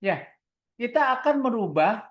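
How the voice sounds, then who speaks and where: A woman's voice: fairly high pitch, sing-song, unhurried. A woman with an Indonesian accent leading an online equipment tutorial step by step, often posing questions and answering them herself in an instructional way. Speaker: product trainer giving a software tutorial.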